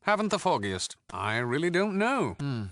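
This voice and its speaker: A wry, bright-voiced British rogue. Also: male voice, a little high, very animated, moderate pace, dry recording, studio quality. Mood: wistful, offhand. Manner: wry, sardonic, flippant. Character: cheeky, worldly thief-gang leader, witty and disdainful. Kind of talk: video game character dialogue.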